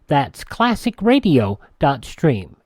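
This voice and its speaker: A man's voice: fairly high pitch, wildly swooping pitch, slow pace, darkish tone, dry recording, clean studio sound. A man with an American accent announcing a radio program from a script, as its host.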